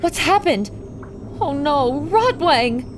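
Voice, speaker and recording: A woman's voice: sits high, expressive, takes it slowly. A woman playing a plucky radio reporter on an expedition, earnest and theatrical in an old-time radio adventure. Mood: dismayed. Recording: loud storm and ambient noise.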